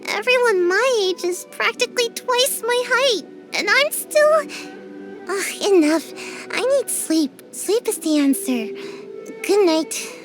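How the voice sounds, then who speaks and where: A drowsy little ninja girl with a high, bouncy voice that swings up into squeaky complaints. She talks slowly and sleepily, mumbling and sulking like a shy, grumpy kid in a game. Faint music plays behind her. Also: lively intonation, fairly bright tone, full character voice. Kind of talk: game character voice lines.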